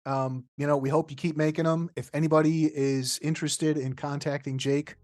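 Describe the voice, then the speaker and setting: A woman's voice: deep voice, level tone, normal speed, darkish tone, no room sound. A woman hosting a podcast, commenting casually and informally, often breaking off and restarting her thoughts. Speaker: podcast host.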